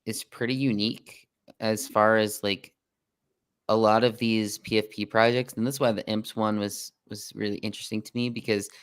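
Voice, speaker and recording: Smooth low-voiced man hosting a podcast. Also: level tone, normal speed, no echo. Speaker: podcast host, interviewer. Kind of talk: casual interview chat.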